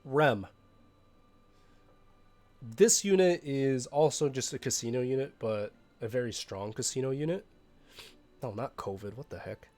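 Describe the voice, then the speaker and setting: A young man, a little hoarse from being sick, talking casually through his game roster with frequent fillers and restarts. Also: mid-range voice, darkish tone. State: hoarse from illness. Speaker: game streamer.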